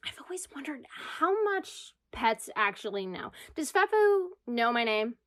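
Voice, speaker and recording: A woman's voice: fairly high pitch, big pitch swings, measured pace, fairly bright tone. A woman acting an anxious, self-deprecating single New Yorker in a comedic audio drama monologue about herself. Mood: agitated. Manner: self-deprecating, wry, confessional. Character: anxious single New York woman spiraling into existential crisis. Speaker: lead actor in radio comedy.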